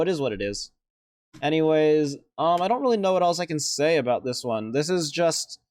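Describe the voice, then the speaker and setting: A man's voice: fairly high pitch, darkish tone, dry recording. A man giving casual, off-the-cuff reaction commentary as a video host, rambling and hesitant as he thinks aloud.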